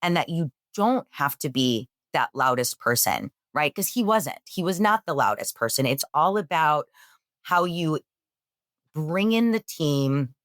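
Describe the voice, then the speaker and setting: A woman answering interview questions in an energetic, emphatic way; she says she has a loud voice. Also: low-pitched, measured pace, no echo, clean studio sound. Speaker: real estate executive guest.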